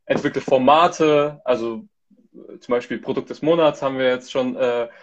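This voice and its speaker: A man's voice: medium pitch, normal speed, muffled recording, a little hiss or hum. A man from Hamburg chatting casually as a guest on a live stream, hesitant, with many restarts and fillers. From Hamburg, Germany. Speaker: content manager, guest. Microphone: video call.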